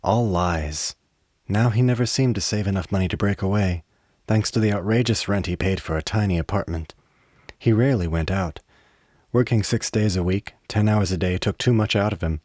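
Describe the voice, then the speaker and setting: A man with a low, deep voice narrates a dramatic story for a radio play. His delivery is measured and literary, reading from a script. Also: a little mellow, no room sound, clean studio sound.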